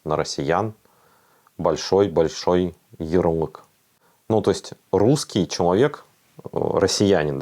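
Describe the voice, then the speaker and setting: Low-voiced tired man from Siberia. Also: darkish tone. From Siberia, Russia. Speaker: volunteer interviewee. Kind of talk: documentary interview.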